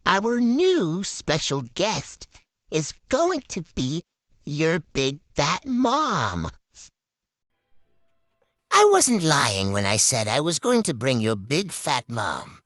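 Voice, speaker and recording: A woman with a low voice hosts a playful puppet show. Her delivery is theatrical and hugely varied in pitch, taken slowly, and the recording is dry. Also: wildly swooping pitch, no echo. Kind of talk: playful improvised show hosting.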